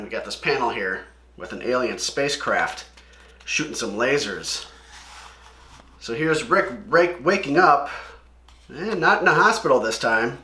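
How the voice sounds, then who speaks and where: Male read-along host, loose slangy commentary, mid-range pitch, roomy echoing recording. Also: pushed and bright. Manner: rambling, sweary, slangy asides.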